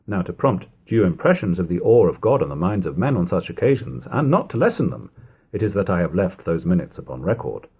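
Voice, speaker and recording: A man reading an old literary text aloud in a measured, formal, emphatic way. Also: low-pitched, sing-song, a little mellow, breathy edge. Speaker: audiobook reader.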